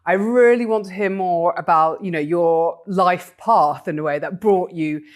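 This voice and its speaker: A woman's voice: lowish voice, measured pace. A woman hosting an interview, asking encouraging, enthusiastic questions. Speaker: host.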